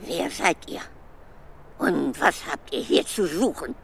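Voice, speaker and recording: A woman playing a gruff old witch-like forest woman in a children's radio play, scolding, suspicious and haughty. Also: lowish voice, lively intonation, slow, crowd noise, full character voice. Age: older. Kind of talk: children's radio drama acting.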